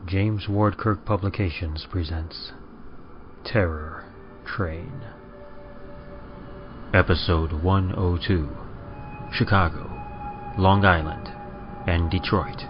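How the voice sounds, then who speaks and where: A man playing a grave, theatrical train conductor and storyteller, narrating old-time radio horror in a solemn, deliberate way. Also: low voice, steady tone. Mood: announcing. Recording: muffled sound with music underneath.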